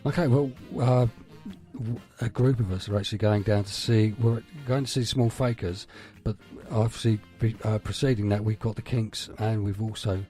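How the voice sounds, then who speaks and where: A man's voice: on the low side, fairly even intonation, moderate pace, warm tone, soft background music. An English man co-hosting a radio show, chatting casually in an agreeable, matey way. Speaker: radio co-host.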